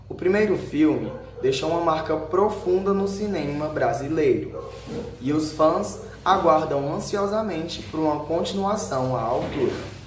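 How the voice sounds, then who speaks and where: A Brazilian man reading a scripted narration aloud in short headed sections, occasionally stumbling over a word. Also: on the high side. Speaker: video narrator. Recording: roomy echo with faint background noise.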